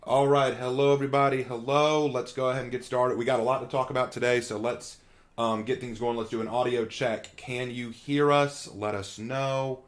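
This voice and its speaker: A man's voice: medium pitch, fairly even intonation, moderate pace. A man hosting a live stream, talking in a friendly, chatty way with frequent ums and uhs. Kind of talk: casual live stream commentary.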